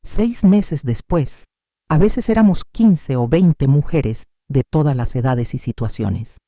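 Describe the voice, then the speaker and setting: A narrator reading a scripted first-person story in a measured, sentimental way. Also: mid-range voice, expressive, warm tone, no echo, studio quality.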